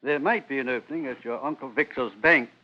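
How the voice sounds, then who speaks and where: A man's voice: medium pitch, fast talker, lightly acted. An actor playing a stern, middle-aged father who questions sharply and impatiently in a 1950s radio drama. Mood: dismissive. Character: stern, alarmed father of grown children. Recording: noisy, muffled vintage radio recording.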